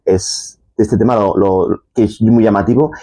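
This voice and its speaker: A man co-hosting a casual podcast conversation, often halting and restarting as he searches for his words. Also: on the low side, steady tone, dark tone. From Castilla-La Mancha, Spain. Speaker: podcast co-host.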